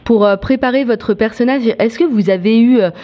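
A woman interviewer putting questions in a hesitant, halting way. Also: a little high, warm tone.

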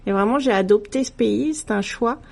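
A middle-aged woman from France, a radio guest talking in a relaxed, thoughtful way with many hesitations and restarts. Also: a little high, normal speed, creaky. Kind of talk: casual conversational interview.

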